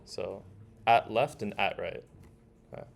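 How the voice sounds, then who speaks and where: A man with a lowish voice teaches an informal workshop, talking casually and haltingly as he works through each step. Keyboard typing and room noise are clearly audible behind him. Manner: casual, halting, thinks aloud. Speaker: workshop instructor. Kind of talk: informal hands-on tutorial, explaining while typing.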